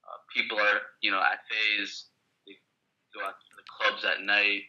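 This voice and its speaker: A man from Queens, New York, debating in an online discussion, halting and repeating words as he searches for them. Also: on the low side, completely flat delivery, sharp tone. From Queens, New York, USA. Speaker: pro-Israel debate participant. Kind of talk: online debate over a call. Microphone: video call. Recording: lo-fi internet call audio.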